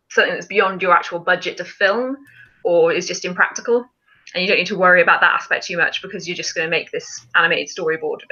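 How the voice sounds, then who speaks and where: A woman's voice: lowish voice, bright, forceful tone, lo-fi sound. A woman hosting a casual live stream, chatting and explaining things in an informal way. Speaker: film challenge live stream host.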